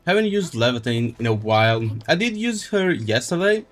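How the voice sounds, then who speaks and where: A man's voice: mid-range voice, big pitch swings, moderate pace, fairly bright tone. A man giving casual, wry live commentary as he streams a video game. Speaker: livestreamer.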